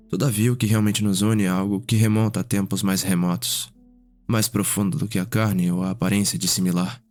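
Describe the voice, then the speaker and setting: A gentle, refined vampire nobleman played by a man with a low voice. He talks in a measured, formal way in an ASMR roleplay, over faint music. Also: lightly acted. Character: genial, refined elder vampire brother, wistful nobleman. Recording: music behind the voice.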